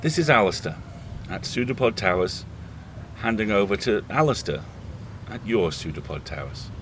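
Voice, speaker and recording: A man playing a weary, reflective horror host, speaking intimately to listeners in a deliberate, halting, earnest audio drama monologue. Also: on the low side, expressive, a bit bright, a little hiss or hum. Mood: trusting, resolute.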